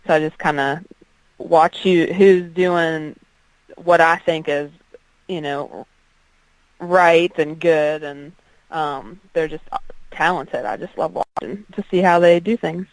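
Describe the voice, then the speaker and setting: A woman's voice: low-pitched, steady tone, slow, dry recording, low-quality recording. A woman singer-songwriter with an alto voice, chatting in an interview with frequent ums and restarts. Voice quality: strong alto voice. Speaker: country singer-songwriter guest. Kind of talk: casual interview chat.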